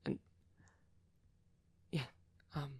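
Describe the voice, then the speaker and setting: A man playing a shy, devoted vampire boyfriend in an intimate ASMR roleplay, gentle, hesitant and stammering, with a breathy voice. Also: drawn-out words, dry recording. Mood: bashful, tender. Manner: hesitant, stammering, soft and rambling.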